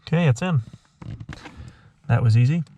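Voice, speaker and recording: A man's voice: medium pitch, moderate pace, mellow, a little hiss or hum. A man narrating a hands-on DIY tutorial as its host, explaining step by step and thinking aloud as he works. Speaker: DIY car electronics video host.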